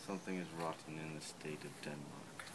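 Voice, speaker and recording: A man's voice: rumbling low, fairly even intonation, brisk. A man playing a wary soldier guarding a prince in an amateur Shakespeare drama. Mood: wary, firm. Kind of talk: amateur stage reading of Shakespeare. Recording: some background noise.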